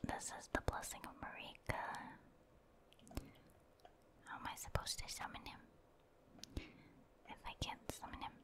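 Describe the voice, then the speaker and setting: Woman, soft breathy whisper, ASMR game commentary, casual, hesitant, musing to herself. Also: measured pace, pauses often. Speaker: ASMR gamer, video host.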